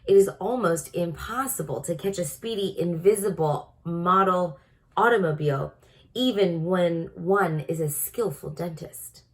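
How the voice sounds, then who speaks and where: A woman's voice: lowish voice, measured pace. A woman reading a storybook aloud to children in a teacherly manner, prompting her listeners. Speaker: storybook reader. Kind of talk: read-aloud narration for children.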